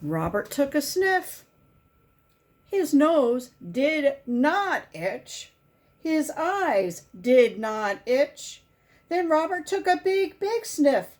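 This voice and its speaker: A woman reading a children's picture book aloud as a volunteer, in simple, deliberate storytelling with emphasized key words. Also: high voice, big pitch swings, a little mellow.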